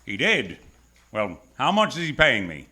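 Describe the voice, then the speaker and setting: Man playing a lively, wisecracking hardboiled private eye. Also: medium pitch, a little slow, exaggerated voice. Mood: probing, wry. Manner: wisecracking, tough, world-weary slang. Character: wry hardboiled male private detective narrating his case. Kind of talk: old-time radio noir acting, first-person narration. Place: stage of live radio theatre.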